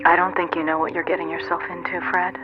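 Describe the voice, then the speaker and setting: A woman's voice: lowish voice, flat delivery, fast talker. A woman playing a worried, wary reporter's wife in an audio drama, guarded and clipped, heard through a telephone. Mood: worried, resigned. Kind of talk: naturalistic audio drama acting. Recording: dull phone sound with music behind.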